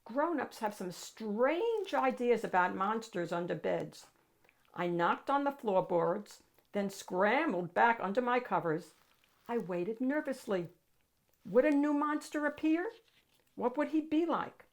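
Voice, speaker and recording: Animated, slow-paced woman reading a picture book. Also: fairly high pitch. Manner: animated, dramatic. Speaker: picture book reader and host. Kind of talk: expressive read-aloud storytelling for children.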